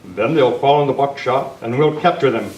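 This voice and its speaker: An upright masked western hero, read by a man in old-time radio style. His mid-pitched delivery is formal and earnest. It sounds distant in an echoey room with faint noise. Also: moderate pace, a little mellow, muffled recording, lightly acted. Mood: urgent. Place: club meeting room, live presentation.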